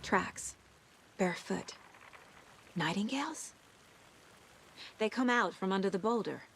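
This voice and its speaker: A woman acting an intuitive, composed FBI agent in a video game, speaking in an understated, deliberate way with clipped phrases. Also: mid-range voice, animated, vocal fry, slight background noise. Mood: focused, intrigued. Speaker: lead detective character.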